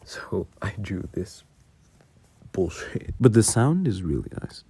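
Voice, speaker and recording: A man's voice: fairly low pitch, very animated, slow pace, very noisy. A man giving casual, rambling behind-the-scenes commentary in a self-deprecating, casually sweary way. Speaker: audio roleplay creator.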